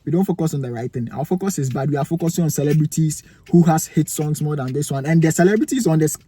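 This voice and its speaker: Husky Ghanaian man preaching to camera. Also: on the high side, fast, warm tone. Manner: emphatic, preachy, asks rhetorical questions. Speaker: vlogger giving life advice. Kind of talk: motivational talk to camera. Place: cold room indoors, near a heater.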